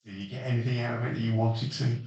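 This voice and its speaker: A man's voice: medium pitch, steady tone, fast, a little mellow, muffled recording. A man hosting a casual podcast chat, recapping a game session with hesitant ums and dry jokes. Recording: roomy echo, distant mic.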